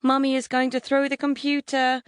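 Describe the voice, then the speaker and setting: A woman's voice: sits high, completely flat delivery, normal speed, clear, resonant tone, dry recording, pitched above the performer's own voice, natural voice. A woman voicing a wide-eyed four-year-old boy full of disbelieving joy in a story. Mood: joyful wonder.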